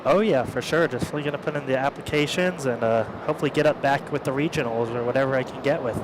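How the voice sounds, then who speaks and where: A man's voice: medium pitch, fast talker, background noise. A young man who works the airport ramp, chatting casually and easily about his job. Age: young adult. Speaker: ramp worker and guest. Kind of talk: casual chat.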